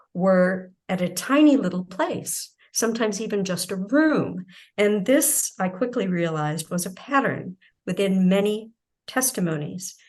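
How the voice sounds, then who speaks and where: A woman giving an academic keynote lecture, explaining her research in a measured way. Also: mid-range voice. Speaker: keynote speaker.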